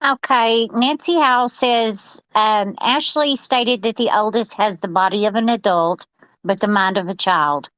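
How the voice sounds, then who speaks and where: A woman's voice: medium pitch, a little slow, fairly bright tone, no room sound, lo-fi sound. A woman reading out viewer comments and giving her opinion on them.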